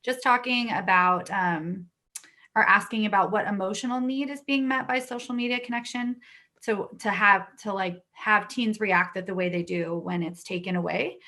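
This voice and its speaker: A woman's voice: medium pitch, creaky, sharp tone. A woman on a webinar passing along a question from the chat, speaking hesitantly with fillers. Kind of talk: online meeting.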